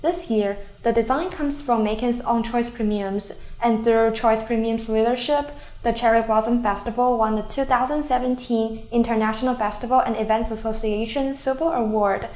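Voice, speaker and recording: A woman's voice: on the high side, normal speed, few pauses, darkish tone, low-quality recording. A young woman working as a student TV reporter, delivering campus news in narration and stand-ups. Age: young adult.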